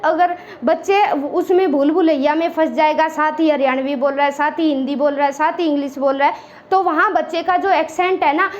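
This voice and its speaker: A woman's voice: high voice, muffled recording. A woman teacher giving an exam-prep lecture, mixing English words into Hindi and checking in with "ठीक है" again and again. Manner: explains in Hindi mixed with English words, repeats "ठीक है" often.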